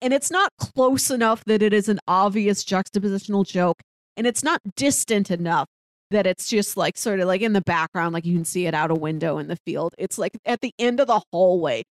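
A woman's voice: mid-range voice, fairly quick, no echo. A woman co-hosting a podcast, casually talking over a TV drama in a rambling storytelling way. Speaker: podcast co-host. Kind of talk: casual chat about a TV drama.

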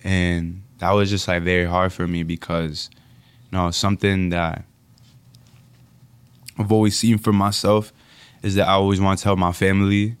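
A young man from the Dominican Republic, a rapper being interviewed, speaking reflectively and haltingly with many fillers. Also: low voice, flat delivery, clear, resonant tone, dry recording. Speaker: rapper guest. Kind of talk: casual interview.

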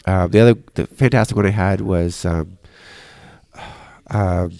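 A man's voice: deep voice, unhurried, vocal fry, warm tone, dry recording. A man telling anecdotes casually as an interview guest, often correcting himself mid-sentence. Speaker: guest, church concert organizer.

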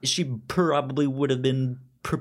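A podcast co-host chatting casually about films and comics, halting and often stammering over words. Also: medium pitch, fairly even intonation. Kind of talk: casual film and comic discussion.

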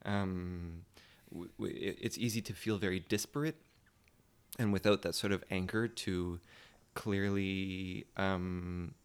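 A man speaking as a guest in a casual panel discussion, thoughtful and often pausing to search for words. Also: low-pitched, fairly even intonation, smooth.

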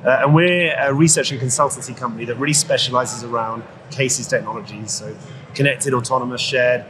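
A man's voice: mid-range voice, fairly even intonation, fairly quick. Male executive guest, noisy trade show. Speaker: guest, company chief executive. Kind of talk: conversational expert explanation. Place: live at an automotive trade show. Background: clear ambient noise.

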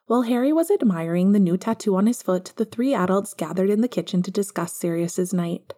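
A woman's voice: medium pitch, lively intonation, a little fast, warm tone. A woman reading scripted fiction as an audiobook narrator.

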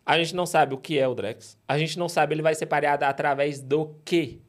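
A man from Ceará, a podcast guest talking in an animated, emphatic, exasperated way. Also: on the high side, brisk. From Ceará, Brazil. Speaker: guest, payments company director. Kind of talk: casual podcast interview.

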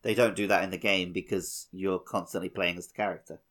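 A man's voice: deep voice, fairly even intonation, fairly quick, a bit bright. A man co-hosting a gaming podcast, thinking aloud in a colloquial, analytical way. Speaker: podcast co-host. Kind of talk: casual gaming podcast chat.

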